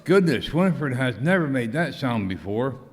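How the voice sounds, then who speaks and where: A man's voice: mid-range voice, big pitch swings, normal speed, lightly acted. A man playing a fussy, protective castaway in an amateur radio comedy skit. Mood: puzzled. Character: fussy, protective male castaway devoted to pet. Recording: echoey hall.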